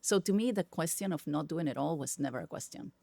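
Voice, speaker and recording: A middle-aged woman with a Spanish accent, Spanish being her first language, tells stories with emphatic, lively energy. Her voice carries a slightly gravelly texture. The sound is dry and close. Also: low voice, quick pace, no echo. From Peru. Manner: animated, emphatic storyteller. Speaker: podcast guest, tech executive. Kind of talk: casual interview conversation.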